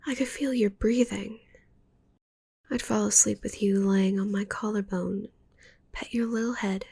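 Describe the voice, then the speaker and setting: A woman playing a needy, possessive witch in an intimate ASMR roleplay, cooing with soft menace in a breathy whisper. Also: medium pitch, heavily acted. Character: possessive, needy young witch doting on shrunken captive.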